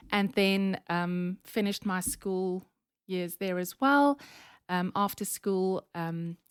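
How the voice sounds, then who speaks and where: South African woman, 40s, Afrikaans accent, smooth voice, rambling guest chat, dry close sound. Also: mid-range voice, no echo. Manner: chatty, warm storytelling with frequent ums. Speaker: podcast guest. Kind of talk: casual interview chat.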